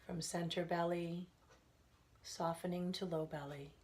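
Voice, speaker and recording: A woman leading a guided relaxation as a meditation instructor, speaking gently and soothingly in unhurried phrases with pauses. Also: lowish voice, fairly even intonation.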